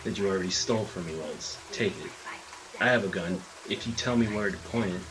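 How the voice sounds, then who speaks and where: A man's voice: low voice, fairly quick, crowd noise, close to an everyday voice, poor audio. Man playing a dry, wry blinded gangster. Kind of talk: audio drama acting.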